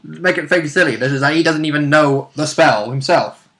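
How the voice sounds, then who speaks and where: A man's voice: a little high, moderate pace, a bit bright. A man chatting casually as a player in a tabletop role-playing game. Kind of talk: casual chat.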